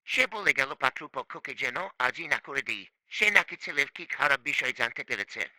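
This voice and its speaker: A man's voice: medium pitch, lively intonation, normal speed, sharp tone, no echo, low-quality recording. A man playing a stern, proud, middle-aged father in a cartoon, with an emphatic, complaining delivery. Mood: worried, regretful. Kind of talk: cartoon voice acting.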